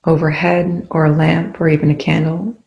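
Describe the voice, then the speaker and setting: A meditation instructor speaking in a soothing, unhurried way with long pauses, guiding a body awareness practice. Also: mid-range voice, little pitch movement, creaky, lo-fi sound. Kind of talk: guided meditation. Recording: echoey room.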